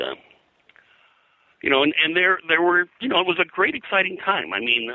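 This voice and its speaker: Older male guest, deep and very bright, close dry sound, casual halting raconteur. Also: dry recording, poor audio. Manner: halting, digressive storytelling with frequent throat clearing. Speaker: interview guest, comics colorist and pop culture historian. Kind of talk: casual reminiscing interview.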